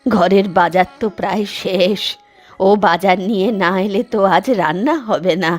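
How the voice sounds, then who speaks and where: A woman's voice: mid-range voice, steady tone, normal speed, natural voice. An elderly village aunt from a cartoon, acted by a woman, speaks. She sounds plaintive and homely, sorrowful yet affectionate. Faint music plays underneath. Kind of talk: cartoon voice acting.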